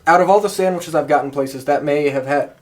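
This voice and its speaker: Podcast host, mid-range pitch, casual conversational delivery. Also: a little fast. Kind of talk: casual chat.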